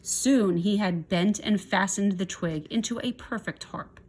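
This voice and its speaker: A woman's voice: mid-range voice, unhurried, darkish tone, slightly rough. A woman reading a children's story aloud expressively, with chatty asides to young listeners. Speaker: storybook reader.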